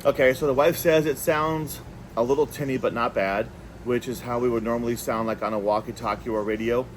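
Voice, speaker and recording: A man's voice: medium pitch, fairly even intonation, brisk, a little hiss or hum. A man hosting a casual, unscripted product review, talking conversationally and thinking aloud as he goes. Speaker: product reviewer, video host.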